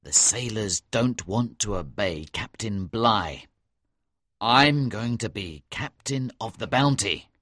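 Casting: woman voicing an earnest male officer, low and husky, urging in a quiet, dry voice. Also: animated, takes it slowly, dry recording, heavily acted. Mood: serious. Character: serious young male ship officer. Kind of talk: audiobook reading.

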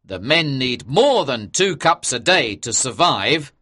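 A woman's voice: rumbling low, sing-song, takes it slowly, fairly bright tone, breathy edge, pitched below the performer's own voice, full character voice. A woman voicing an earnest, dutiful male naval officer in a storybook, with a firm, respectful, serious manner. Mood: respectful, concerned.

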